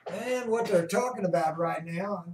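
A man giving casual, unhurried live commentary as an amateur host explaining a weather radar. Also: high voice. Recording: roomy, distant mic, faint noise.

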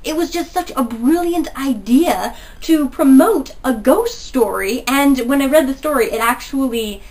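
A woman's voice: sits high, unhurried, darkish tone, recorded from a distance, rough recording. A young woman in her twenties with a stuffy nose from a cold, chatting enthusiastically through a book review in a small bedroom. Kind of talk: casual video book review. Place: small bedroom with posters, indoors.

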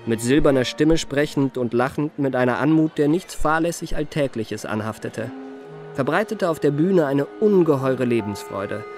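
An actor playing a refined, self-enamoured memoirist, narrating a radio play in an ornate, savouring manner. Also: male voice, mid-range voice, lively intonation, moderate pace, a little mellow, soft background music, natural voice. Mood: rapturous.

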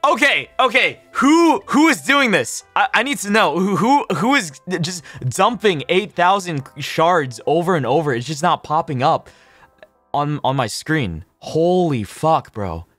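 A man livestreaming a game, chatting casually with viewers, swearing freely, repeating himself and thinking out loud. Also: on the high side, very animated, a little slow, no room sound, soft background music.

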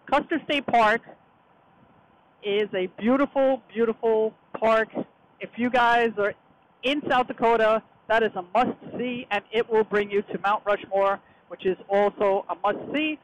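A woman's voice: a little high, steady tone, a little slow, rough recording. A woman narrates a casual motorcycle vlog. She is recorded outdoors as she rides, with a light layer of wind and road noise under her talk. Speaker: motorcycle vlogger.

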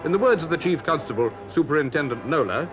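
A man's voice: on the high side, animated, fast talker. A British man reading scripted narration as a reporter on a 1950s newsreel. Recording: dull 1950s newsreel soundtrack. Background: music.

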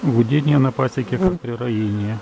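Male beekeeper from Ukraine, mellow gravelly voice, matter-of-fact outdoor narration over faint bee buzz. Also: mid-range voice, animated, a little hiss or hum, poor audio. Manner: measured, matter-of-fact, reads out times and temperatures. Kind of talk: calm narration of apiary footage. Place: outdoors at an apiary beside hives. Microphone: camera's built-in mic. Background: buzzing bees.

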